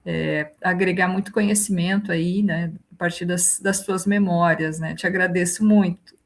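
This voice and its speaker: A woman's voice: fairly low pitch, steady tone, normal speed. A young adult woman interviewing a guest over a video call, encouraging and friendly, tagging her sentences with "né". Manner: encouraging, frequent "né". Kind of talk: casual interview chat.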